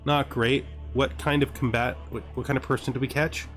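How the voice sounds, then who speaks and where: A man with a mid-pitched voice narrates a strategy game in a casual way. He muses aloud as he plays, posing questions to himself and answering them, with music playing behind his voice. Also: flat delivery, normal speed, a bit bright. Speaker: gamer narrating a let's play. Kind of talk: casual gameplay commentary, thinking aloud.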